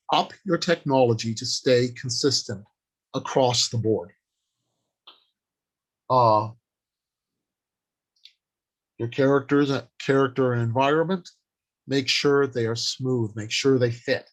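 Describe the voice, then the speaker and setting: A man presents an informal workshop on the craft of writing at a slow pace. He delivers his advice deliberately, in short phrases broken up by pauses. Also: medium pitch. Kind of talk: informal instructional talk on writing.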